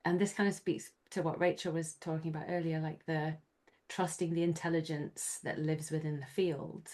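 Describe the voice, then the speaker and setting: Woman host, low voice, bit hoarse, reflective and laughy, on a video call. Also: a little mellow. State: slightly hoarse. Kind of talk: conversational interview.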